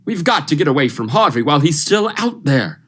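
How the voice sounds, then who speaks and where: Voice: medium pitch, sing-song, brisk, a little hiss or hum, close to an everyday voice. A young performer playing an urgent, commanding male doctor in an old-style radio thriller, emphatic and melodramatic. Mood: urgent, persuading. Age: young adult. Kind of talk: 1940s radio thriller acting.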